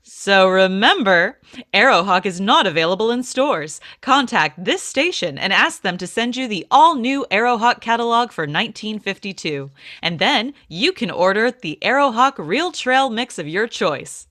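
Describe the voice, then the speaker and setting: A woman plays a polished 1950s radio announcer. Her bright, mid-pitched voice is upbeat and salesy, captured very dry. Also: animated, moderate pace, dry recording.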